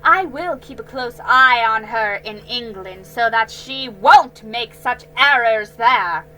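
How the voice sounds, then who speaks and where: A woman voicing a haughty, grating aristocratic lady who speaks slowly and pompously in a high, loud voice. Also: faint music behind, rough recording. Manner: pompous, slow and drawn out, shrill.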